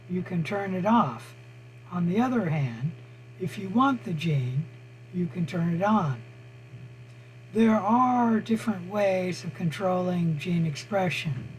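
Hoarse man teaching online, slow and halting with lots of "uh" pauses, fairly high voice, faint hum behind. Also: a little mellow, poor audio. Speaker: college biology instructor. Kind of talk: online lecture over screen share. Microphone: computer mic on a video call.

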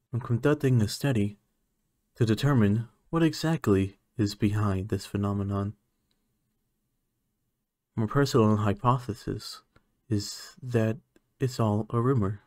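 A man playing an aloof, condescending alien scientist in an ASMR roleplay, speaking in a clinical, measured, haughty manner. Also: fairly low pitch, darkish tone, dry recording, clean studio sound. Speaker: voice actor.

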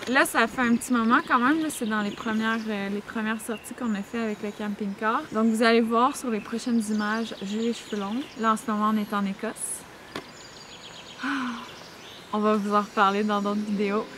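A woman in her thirties with a Québécois accent, chatting casually to the camera outdoors, relaxed with frequent hesitations. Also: fairly high pitch, normal speed, a little hiss or hum. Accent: Québécois French. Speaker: travel vlogger. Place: outdoors in a park and parking area. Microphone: camera a few feet away. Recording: loud outdoor ambience behind the voice.

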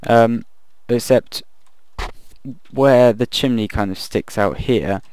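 A man casually commenting while he builds in a video game, thinking aloud and rambling, his voice croaky from the late hour. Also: mid-range voice, slow, darkish tone, dry recording. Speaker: gaming video creator.

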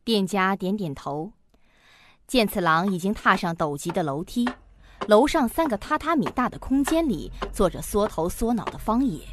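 A woman's voice: medium pitch, a little hiss or hum. A woman narrator reading scripted narration for a suspense audio drama.

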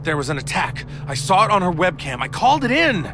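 A man's voice: high voice, very animated, fast, background noise. An actor playing a desperate male witness who pleads urgently with police in an audio drama. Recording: music under the dialogue.

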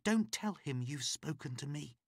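A man reading a storybook, voicing a frightened captive woman who pleads in a high, anxious voice. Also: expressive, unhurried, gravelly, husky, no echo, clean studio sound, exaggerated voice. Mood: anxious pleading.

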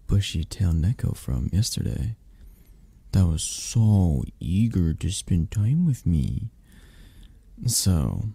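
Very deep, mellow, tender dragon boyfriend played by a man. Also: creaky, husky, no echo, full character voice. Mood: teasing, affectionate. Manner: soft, teasing, reassuring, hesitant pauses. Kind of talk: intimate ASMR roleplay. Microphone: close, dry microphone.

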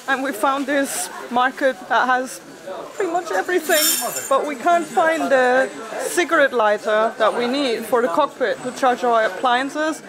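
Chatty high-voiced woman vlogging. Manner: chatty, laughs often. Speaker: sailing vlog host. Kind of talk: casual vlog narration. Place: outdoor market and sailboat. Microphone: camera at arm's length.

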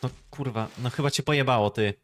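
A man's voice: medium pitch, quick pace. A man in casual gaming banter with friends, talking bluntly and swearing often. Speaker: gamer in a group multiplayer session.

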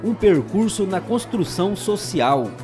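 A man reading a formal, measured news voice-over about a school event. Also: high voice, very animated, music in the background. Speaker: news reporter. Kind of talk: scripted news narration.

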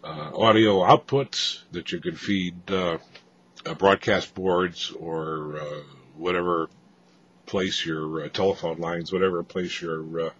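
A man giving an off-the-cuff technical walkthrough of equipment, explaining haltingly with frequent hesitations, as a hobbyist presenter. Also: low voice, fairly even intonation, fairly bright tone. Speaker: amateur radio hobbyist presenter. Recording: dull lo-fi audio, faint background noise.

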